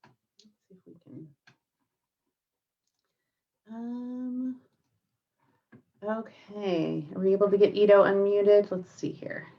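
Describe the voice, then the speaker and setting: A woman's voice: mid-range voice, steady tone, takes it slowly, long pauses, recorded from a distance. A woman hosts an online event in a mid-range voice, reading an introduction and apologizing through muting trouble. She sounds set back from the mic. The call audio is dull and lo-fi. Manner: apologetic when handling tech trouble. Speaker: event host.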